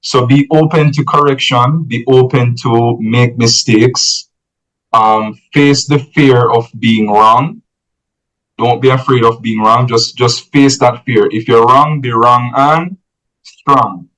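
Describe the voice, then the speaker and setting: Slow, measured man. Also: mid-range voice, fairly even intonation, a bit bright, low-quality recording. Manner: measured and explanatory, often ends points with "right?". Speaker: podcast guest. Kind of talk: conversational advice-giving.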